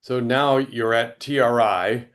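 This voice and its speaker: Male host, mid-pitched voice, relaxed conversational questions. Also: slow. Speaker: podcast host. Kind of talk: casual interview talk.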